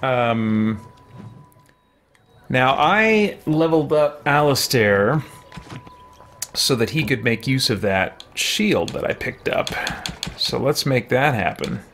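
A man hosts a casual gameplay stream from a small room. His voice sits at a medium pitch as he muses aloud about what to do. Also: expressive, takes it slowly, pauses often, fairly bright tone, slight background noise. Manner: thinking aloud, musing. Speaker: streamer, gaming host. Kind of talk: casual live gameplay commentary. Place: small indoor room with shelves.

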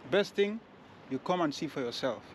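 Man, slightly high voice, halting repetitive delivery, casual walking-tour commentary, clear street noise. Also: lively intonation. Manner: repetitive, halting phrases with non-standard grammar. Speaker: vlogger and walking-tour host. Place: outdoors on a city street.

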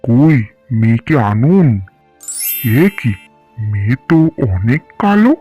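A man's voice: medium pitch, sing-song, takes it slowly, mellow, faint music behind, voice pushed down. A man voicing a haughty, dismissive groom's father in a cartoon, brusque in manner. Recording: dull lo-fi cartoon audio.

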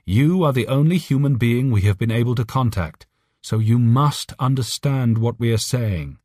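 Man voicing an earnest alien, pitched up high. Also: animated, moderate pace, darkish tone, dry recording, studio quality, natural voice. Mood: urgent, friendly. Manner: urgent, earnest, careful phrasing. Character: alien telepathic voice, urgent, friendly, earnest, worried. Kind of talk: audiobook character voice.

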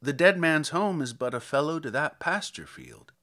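A man's voice: on the high side, big pitch swings, normal speed, pushed and bright. A man voicing a courteous, curious traveler in a dramatic verse reading, with a polite, inquiring manner. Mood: questioning. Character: courteous male stranger, a curious, questioning traveler.

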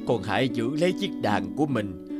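A man's voice: mid-range voice, lively intonation, a little fast, over music, close to an everyday voice. An actor playing a kindly, solemn male god giving advice in a cartoon. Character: wise benevolent male god.

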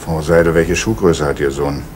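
A low-voiced police inspector who probes calmly and methodically. He questions people in a measured, deliberate way, over the steady hiss of an old radio play recording. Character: calm, probing male police inspector. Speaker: police detective Fuchs. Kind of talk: 1980s East German radio crime drama.

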